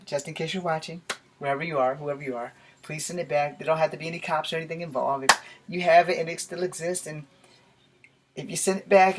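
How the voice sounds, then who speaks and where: An American man in his forties giving a rambling, casual vlog talk to camera in a small bedroom. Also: fairly high pitch. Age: 40s. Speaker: YouTube vlogger. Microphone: camera a few feet away. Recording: noisy home camera recording with clicks.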